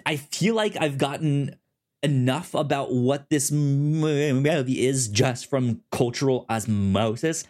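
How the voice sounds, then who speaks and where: A man co-hosting a movie podcast, talking casually with a halting, stammering delivery that often repeats words. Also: mid-range voice, darkish tone. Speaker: podcast co-host. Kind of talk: casual chat pitching films on a movie podcast.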